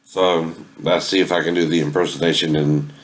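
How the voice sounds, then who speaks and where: A man's voice: extremely low pitch, little pitch movement, brisk. A man giving a casual, explanatory demonstration, often checking in with "right?" at the ends of phrases. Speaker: amateur video demonstrator showing a tape deck. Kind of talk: casual instructional talk with sung impersonations.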